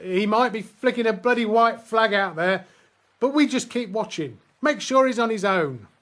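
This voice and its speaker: A man's voice: high voice, expressive, moderate pace, fairly bright tone. A man playing a blunt, commanding First World War army sergeant in a radio drama. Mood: wary, firm. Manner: barking, blunt orders.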